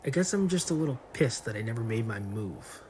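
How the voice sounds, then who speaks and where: A man's voice: mid-range voice, expressive, fairly quick, darkish tone, a little hiss or hum, natural voice. Actor as a hesitant, lonely young man. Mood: glum, confiding. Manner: hesitant, self-conscious. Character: lonely, awkward young man confiding his frustration. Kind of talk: voice acting for a motion comic.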